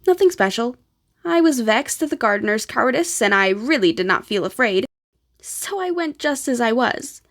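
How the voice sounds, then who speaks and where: A woman's voice: a little high, animated, normal speed. A woman voicing a genteel, plain-spoken elderly grandmother in a storybook, telling her memories in a composed, old-fashioned way. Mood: reminiscing.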